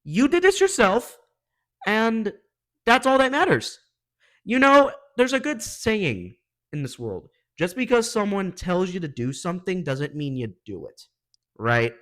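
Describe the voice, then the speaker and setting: High-pitched, animated man reacting casually. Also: unhurried. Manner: exasperated, opinionated, slangy. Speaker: reaction video host.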